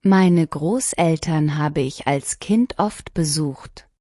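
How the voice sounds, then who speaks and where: Low-voiced woman reading lesson sentences. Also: no echo, clean studio sound. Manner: clear, even, deliberate sentence-by-sentence delivery. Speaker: language lesson reader. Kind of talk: scripted shadowing practice reading.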